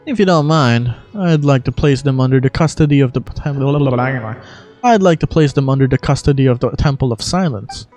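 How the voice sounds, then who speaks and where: A performer voicing a composed, courteous adult character from a fantasy game in a measured, expository manner. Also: mid-range voice, darkish tone, faint music behind, natural voice. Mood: measured, grave.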